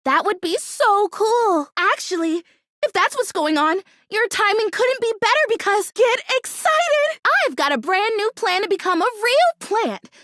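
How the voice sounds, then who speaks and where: Super high girly plant character for a game, bubbly and naive, swoopy delivery, close dry sound. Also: very animated, measured pace, fairly bright tone, no echo, heavily acted. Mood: excited. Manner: bubbly, gushing, naively optimistic, chatty. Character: perky, earnest young female houseplant longing to be real. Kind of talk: animated video game character acting.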